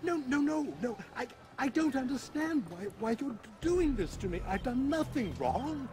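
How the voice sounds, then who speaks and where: Frightened high-voiced cleric. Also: male voice, lively intonation, normal speed. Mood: desperate. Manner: stammering, pleading, deferential. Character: frightened, pleading male cleric protesting his innocence. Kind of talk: video game drama acting. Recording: dramatic music behind the voice. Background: music.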